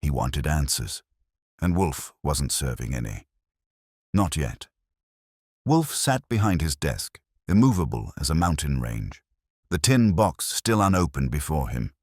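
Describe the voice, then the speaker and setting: A man's voice: extremely low pitch, very animated, measured pace, no echo, studio quality, heavily acted. A man voicing a wisecracking tough detective's assistant who narrates a hardboiled audiobook mystery. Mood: wry, brisk.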